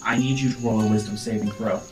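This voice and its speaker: Guy game master telling a tense scene, dramatic, stops and starts, music clearly behind him. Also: on the low side, steady tone, fast talker. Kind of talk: improvised dramatic tabletop narration.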